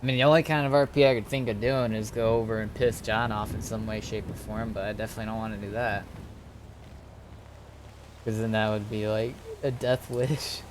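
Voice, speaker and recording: A man streaming an online roleplay game, chatting casually and haltingly as he thinks aloud. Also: fairly low pitch, level tone, a little hiss or hum. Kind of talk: casual gaming chat.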